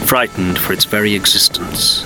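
An actor voicing a grave figure of doom in a dramatic audio drama trailer. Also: male voice, fairly low pitch, moderate pace, background noise, lightly acted. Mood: grave, ominous.

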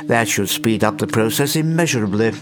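An actor playing a fussy, imperious old British scientist in an audio drama, stammering, hemming and repeating himself in a patronising way. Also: male voice, fairly low pitch, fairly quick, music in the background, close to an everyday voice. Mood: brisk, firm. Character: fussy, imperious, doddery old male time-travelling scientist.

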